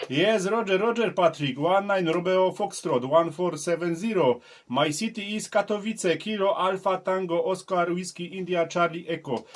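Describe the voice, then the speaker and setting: Man on CB radio, high voice. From southern Poland. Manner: halting non-native English, spelling call signs. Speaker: CB radio operator. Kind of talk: CB radio contact.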